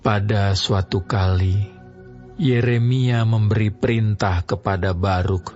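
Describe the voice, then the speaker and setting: A man narrating a Bible reading in a slow, measured, even manner. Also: on the low side, dull audio. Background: music.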